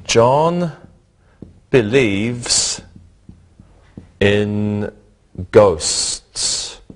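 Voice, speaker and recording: A man leads a scripted English lesson, his voice sitting a little low. He speaks at a very unhurried pace, setting words apart one by one so learners can follow. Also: expressive. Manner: deliberate, spells out words one at a time. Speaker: English teacher. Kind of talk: slow, clear scripted language lesson.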